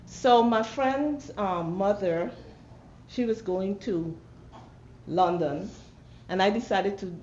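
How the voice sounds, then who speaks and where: An older Guyanese woman around seventy tells a personal story at a medium pitch. She is reflective and repeats phrases for emphasis. The recording is a little dull, with some room noise behind her. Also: slow, darkish tone. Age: older, about 70. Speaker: artist telling her story.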